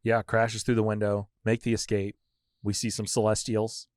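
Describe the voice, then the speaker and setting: A man's voice: on the low side, monotone, moderate pace, darkish tone, smooth, no room sound, clean studio sound. A man co-hosting a casual film discussion, chiming in with plot details and agreement. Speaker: podcast co-host.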